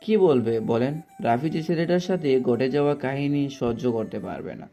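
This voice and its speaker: A man's voice: medium pitch, lively intonation, a little fast, dark tone, soft background music. A man narrating a scripted romantic story as its storyteller.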